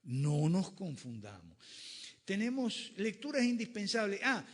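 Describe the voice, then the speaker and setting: A man's voice: high voice, huge pitch swings, measured pace. A man giving a classroom lecture with slides, emphatic and fond of rhetorical questions. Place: lecture room. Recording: reverberant lecture hall.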